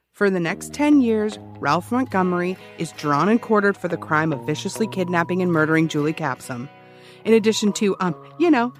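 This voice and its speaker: A woman's voice: on the low side, lightly acted. Intent actress as ex-cop woman podcaster, music underneath. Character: obsessive, defensive ex-cop woman hosting a true-crime podcast. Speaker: true-crime podcast host, former police officer. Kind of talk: conversational scripted narration in an audio drama. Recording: music behind the voice.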